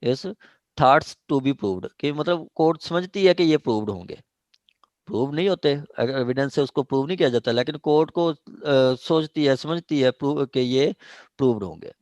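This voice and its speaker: A man's voice: medium pitch, expressive, normal speed, a little mellow, no echo. A man with a Pakistani accent giving a classroom law lecture, reading out legal text and explaining it step by step, repeating himself and checking understanding. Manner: repetitive, explains step by step with frequent check-in questions.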